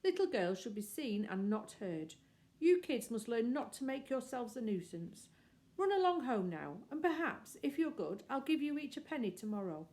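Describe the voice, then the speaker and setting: A woman's voice: on the high side, sing-song, moderate pace, mellow, pitched above the performer's own voice. A woman voicing a pompous, irritable young man in a storybook, airily condescending and affectedly polite. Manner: languid, airy, haughtily polite.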